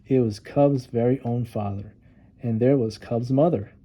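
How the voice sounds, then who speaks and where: A man reads a storybook aloud for children. His voice is very dark and mellow, and he leans on key words for dramatic effect. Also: mid-range voice, a little slow. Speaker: storytime reader.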